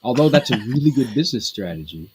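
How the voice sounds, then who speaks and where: A man's voice: mid-range voice, big pitch swings, fast, crowd noise. A man from Canada chatting on a podcast in a rambling, hesitant way, with lots of restarts and fillers. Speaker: podcast co-host. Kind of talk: casual group podcast chat.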